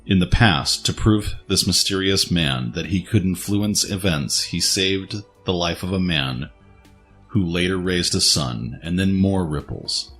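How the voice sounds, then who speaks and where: A man's voice: deep voice, a little slow, a bit bright, soft background music. A man narrating a scripted dramatic story as its narrator.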